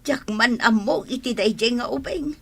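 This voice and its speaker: A woman playing an exasperated old village grandmother with a husky voice, scolding in an Ilocano radio comedy drama. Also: fairly high pitch, moderate pace. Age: older.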